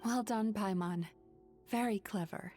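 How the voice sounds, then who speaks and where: A woman playing a calm, resolute young mystical nightmare hunter in an anime game, explaining things with composure. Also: medium pitch, a little slow, faint music behind, natural voice. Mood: gently solemn. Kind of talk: anime video game voice acting.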